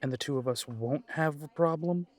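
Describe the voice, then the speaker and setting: A man's voice: on the high side, normal speed, a little mellow, close to an everyday voice. A man playing a cocky, wry werewolf alpha and former soldier in an audio drama, sardonic and casual in his challenge.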